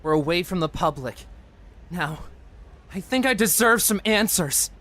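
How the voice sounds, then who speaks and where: A man's voice: sits high, lively intonation, a little slow, bright, forceful tone, pitched above the performer's own voice, full character voice. A man voicing a wary, frustrated young protagonist in a video game. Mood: demanding.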